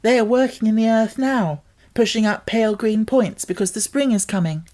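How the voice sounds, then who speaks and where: Storybook young girl, woman narrator acting: earnest, high-voiced, breathless, words tumbling out. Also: normal speed, darkish tone, lightly acted. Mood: eager, urgent.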